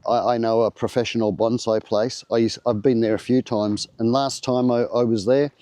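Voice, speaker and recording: A man's voice: mid-range voice, moderate pace, dry recording. Australian man, voice off after the flu. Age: older. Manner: rambling, relaxed, many fillers and restarts. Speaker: vlogger. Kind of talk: casual chat to camera. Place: at his home by a greenhouse.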